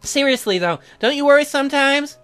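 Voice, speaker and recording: A man voicing a gentle, worried young pregnant wife, reading visual novel dialogue in a soft, hesitant, earnest way. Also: very high-pitched, lively intonation, fairly quick, soft background music, pitched above the performer's own voice.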